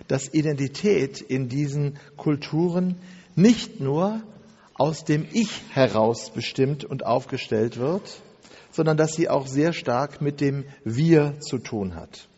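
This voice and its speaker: A man giving a spoken lecture, measured and methodical, stacking phrases one after another with an occasional filler. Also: on the high side, animated, mellow, breathy edge. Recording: dull recording in a long-reverb hall.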